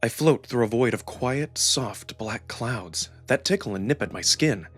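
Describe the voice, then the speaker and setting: A man performing dramatic first-person story narration with expressive, acted-out delivery. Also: mid-range voice, a little slow, breathy edge. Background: music.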